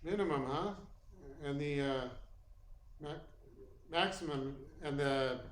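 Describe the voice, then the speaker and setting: A man playing a tough, wry private detective in a radio play, talking in a halting, slangy way. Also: a little high. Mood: groggy. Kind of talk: 1940s-style radio play acting. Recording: echoey room, clear background noise.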